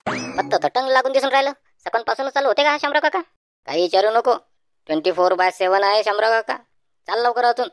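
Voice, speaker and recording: Cartoon village lad voiced by a woman, Varhadi Marathi accent, rapid wry back-talk, mid pitch, dry sound. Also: no echo, lightly acted. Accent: Varhadi Marathi (Vidarbha). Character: young village men, wry, wary, plain-spoken. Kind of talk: comic village cartoon dialogue.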